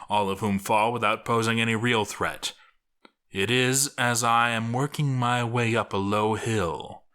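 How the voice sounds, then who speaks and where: A man reading a scripted story as a dramatic narrator, theatrical and deliberate, with pauses for effect. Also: fairly low pitch, bright, forceful tone.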